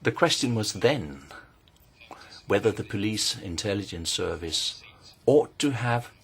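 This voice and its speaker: A man giving measured, formal testimony to a committee, pausing often and hesitating between phrases. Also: lowish voice, lively intonation, fairly bright tone, slight background noise. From Norway. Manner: measured, deliberate, with frequent pauses and hesitations. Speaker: invited speaker at a parliamentary hearing.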